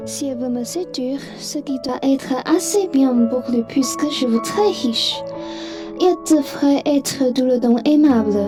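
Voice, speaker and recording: A woman playing an anxious, dreamy, lovesick rich young woman in a student radio play. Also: high voice.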